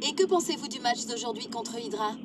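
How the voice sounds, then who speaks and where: A woman's voice: fairly high pitch, lively intonation, brisk, very noisy, natural voice. A woman voicing a polished, showy female TV sports reporter in a French cartoon dub. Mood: inquisitive. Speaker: field reporter. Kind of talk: presenter patter in cartoon dub.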